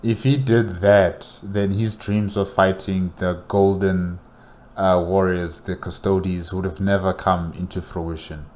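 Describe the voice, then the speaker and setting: Deep voiced guy reacting casually, takes his time, repeats stuff for emphasis. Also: little pitch movement, measured pace, darkish tone. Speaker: reaction video host. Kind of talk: casual off-the-cuff commentary.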